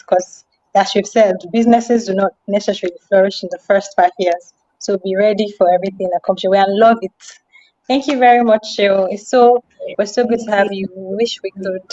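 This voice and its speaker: A woman's voice: mid-range voice, warm tone. A woman hosting a live online event in a chatty, appreciative way. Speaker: host. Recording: dull, lo-fi online audio.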